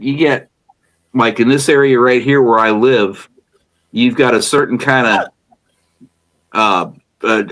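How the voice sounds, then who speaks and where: A man from the United States chatting casually across a table. He rambles, stacks false starts and circles back to finish his thoughts. His voice sits at an everyday middle pitch. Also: a bit bright, rough recording. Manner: rambling, restarts phrases. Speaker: beer show co-host. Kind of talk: casual chat.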